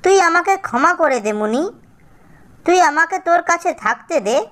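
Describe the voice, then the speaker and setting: Woman acting a high, pleading stepmother. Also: animated, measured pace, a bit bright, exaggerated voice. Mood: pleading, remorseful. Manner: imploring, insistent. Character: pleading, remorseful stepmother begging forgiveness. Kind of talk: cartoon fairy-tale dubbing.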